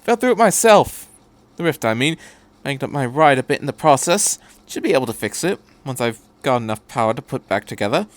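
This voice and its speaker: A man's voice: medium pitch, sing-song, fairly quick, close to an everyday voice. A man voicing a cheerful, chatty, eccentric male time traveller who rambles breezily in a fan fiction reading. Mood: excited, rambling.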